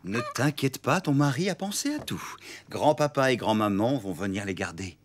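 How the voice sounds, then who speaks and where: Man playing a very animated cartoon elephant dad. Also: medium pitch, normal speed, background noise, natural voice. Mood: proud. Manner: expressive, good-natured. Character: cheerful, doting father elephant, proud of his plans. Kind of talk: French children's cartoon acting. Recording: music behind the voice.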